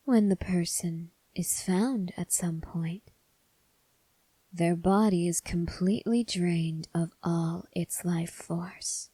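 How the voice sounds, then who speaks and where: Girl doing a vampire lady asmr, breathy and whispery, real slow, coaxing and kinda menacing, super dry close mic. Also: lowish voice, no room sound. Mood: hushed, ominous. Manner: slow, hushed, intimate, coaxing, often dropping into whispers. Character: soft-spoken, teasing, menacing female vampire huntress with an axe. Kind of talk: whispered ASMR roleplay addressed to the listener.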